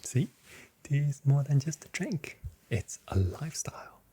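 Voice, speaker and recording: A very soft, whispering voice in an ASMR role play as a grocery shop assistant, giving gently playful sales patter. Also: medium pitch, sing-song, a little slow, slightly rough.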